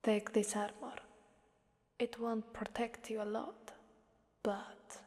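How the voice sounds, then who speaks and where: A haughty, sadistic demon mistress of a hellish arena, acted by a woman, speaks in a slow, whispery ASMR roleplay voice. She taunts and commands in a soft breath, with long cavernous reverb around her words. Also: on the high side, flat delivery. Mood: imperious, sadistic.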